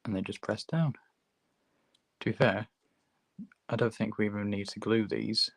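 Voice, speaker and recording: A man with a hoarse sore throat, hosting a relaxed, chatty livestream tutorial while building a model kit at his workbench. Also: lowish voice, no room sound. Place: hobby workbench, filmed from overhead.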